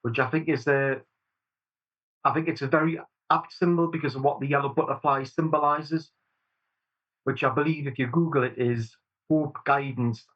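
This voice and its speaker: A man's voice: mid-range voice, fairly bright tone, lo-fi sound. A man in his forties with a Geordie accent, speaking sincerely and steadily as a guest in an interview. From Newcastle upon Tyne, England. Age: 40s. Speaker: campaign group chair, guest. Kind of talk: earnest interview answers.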